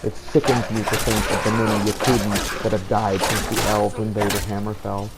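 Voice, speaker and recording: Man voicing a low, gravelly, solemn wandering warrior. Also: little pitch movement, lightly acted. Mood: disdainful, resolute. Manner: measured, formal, literary. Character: solemn devout male Redguard warrior hunting the undead. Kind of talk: in-character journal narration over a video game. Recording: noticeable background noise.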